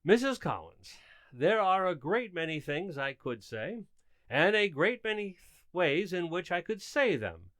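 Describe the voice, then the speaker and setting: A man playing an exasperated, flustered but mannerly husband and father in a radio comedy, speaking formally and haltingly. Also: high voice, big pitch swings, fairly bright tone, dry recording, full character voice. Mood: strained politeness. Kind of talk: old-time radio comedy acting.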